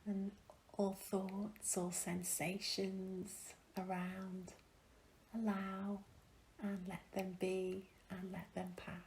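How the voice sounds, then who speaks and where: Slow, hushed woman guiding a meditation. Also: medium pitch, monotone, a little hiss or hum, poor audio. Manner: gentle, hushed, breathy, long pauses. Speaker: meditation guide. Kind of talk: guided meditation, soothing and slow.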